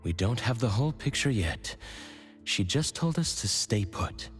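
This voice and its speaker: A male actor playing a calm, thoughtful companion in a video game. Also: mid-range voice, a little slow, soft background music. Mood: measured.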